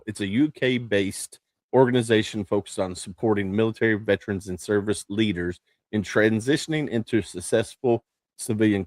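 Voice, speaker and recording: A man's voice: on the low side, no room sound. A man hosting a podcast, upbeat and chatty, laying out the topics with many fillers. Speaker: podcast host. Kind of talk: casual hosting chat.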